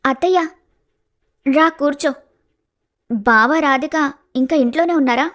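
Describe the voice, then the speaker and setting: A high, youthful female voice acted by a woman plays a gentle young village woman in a cartoon family tale. She is heartbroken and earnest, questioning herself with quiet sorrow. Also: unhurried. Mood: subdued. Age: young adult. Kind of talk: animated family story dialogue.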